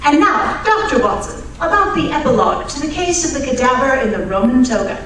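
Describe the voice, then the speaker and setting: A woman's voice: high voice, lively intonation, a little fast, fairly bright tone. Woman as formal radio hostess in very echoey hall. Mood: polite, presenting. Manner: polished, formal presenting. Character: Miss Harris, bright, polite radio program hostess. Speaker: announcer, host. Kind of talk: old-time radio announcing, live performance. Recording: echoey hall from far off, faint noise.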